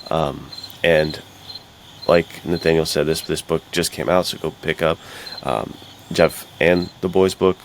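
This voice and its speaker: A man with a very deep voice talks like an excited podcast co-host. His delivery is casual, slangy and bro-ish. Some noise sits behind him. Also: unhurried. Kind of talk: casual enthusiastic podcast chat.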